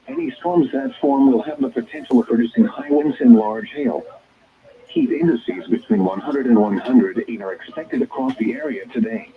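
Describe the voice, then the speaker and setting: A synthetic American male announcer voice comes through a dull, lo-fi weather radio. He reads scripted bulletins formally and impersonally in segmented phrases, with a pitch that swings noticeably up and down. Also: gravelly. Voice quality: synthetic text-to-speech voice. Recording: dull, band-limited weather radio broadcast.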